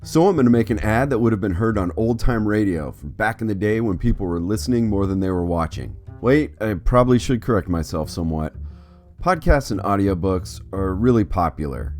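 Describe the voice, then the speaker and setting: A man's voice: fairly low pitch, animated, brisk, darkish tone, soft background music. A man hosting an art video as an artist, with scripted but conversational narration. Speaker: host, artist.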